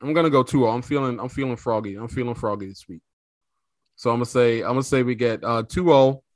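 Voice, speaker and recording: A man's voice: medium pitch, fast talker, no room sound. Male host, playful and loose, repeats lines, dry close sound. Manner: playful, repeats himself, slangy. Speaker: podcast host. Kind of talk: casual sports chat.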